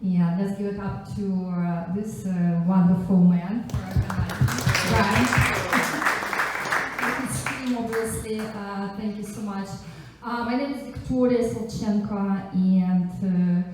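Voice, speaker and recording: A woman from Russia with a Russian accent introduces herself as a panelist at a live event, chatty and halting, with frequent asides. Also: medium pitch, fairly even intonation, soft background music, a faint crowd. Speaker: panelist, angel investor and economist. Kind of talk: informal self-introduction to a live audience. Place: echoey indoor event venue. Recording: very echoey room, distant microphone.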